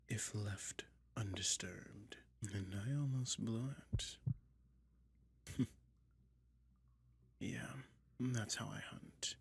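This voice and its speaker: A man voicing a soft-spoken, teasing dragon in a hypnotic ASMR roleplay, mostly in a breathy, lulling whisper. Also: on the low side, full character voice. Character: soothing, toying male dragon lurking in a cave.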